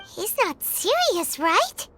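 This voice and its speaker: A woman voicing a tiny, childlike, excitable female fairy companion in a video game, with a squeaky voice and exclamatory delivery. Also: slow, heavily acted. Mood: skeptical. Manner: exclamatory, refers to self in third person. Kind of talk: video game cutscene acting. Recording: loud music behind.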